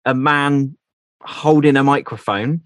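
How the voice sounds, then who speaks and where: Guy hosting a radio comedy interview, medium pitched voice, really dry close sound. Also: takes it slowly, no echo.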